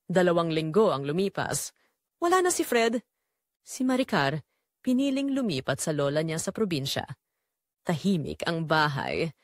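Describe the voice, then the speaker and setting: A woman with a Filipino accent playing a betrayed middle-aged mother, telling her story solemnly and deliberately in an audio drama. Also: on the low side, big pitch swings, no room sound, studio quality. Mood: reflective, resolute. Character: betrayed middle-aged mother, wounded but quietly resolute. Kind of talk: dramatic first-person audio story narration.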